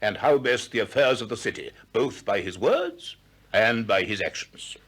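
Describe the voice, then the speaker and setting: A husky, self-assured sophist. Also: male voice, fairly low pitch, expressive, fairly bright tone. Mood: confident, courteous. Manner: assured, formal, measured. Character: self-assured, courteous celebrated Greek sophist teacher. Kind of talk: 1960s British radio play acting.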